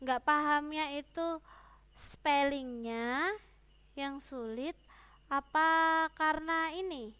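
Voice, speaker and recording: High-pitched Indonesian woman teaching, dry close sound. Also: slow, long pauses, pushed and bright, no room sound. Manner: mixes English terms into explanations, often checks with "ya". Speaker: English teacher. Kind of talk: informal online lesson, explaining and addressing students.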